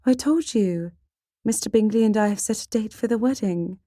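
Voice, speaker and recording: A woman voicing a proper, gentle, easily shocked young gentlewoman in a period audiobook, prim and polite in manner. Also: medium pitch, moderate pace, no room sound, clean studio sound, pitched above the performer's own voice, close to an everyday voice. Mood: prim, indignant.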